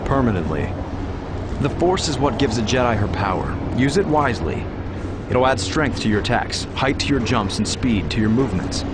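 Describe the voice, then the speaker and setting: A man's voice: medium pitch, big pitch swings, moderate pace, heavy background noise, natural voice. An actor playing a confident, encouraging young space knight teaching his apprentice in a video game tutorial. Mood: instructive. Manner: instructive, confident. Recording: loud music behind.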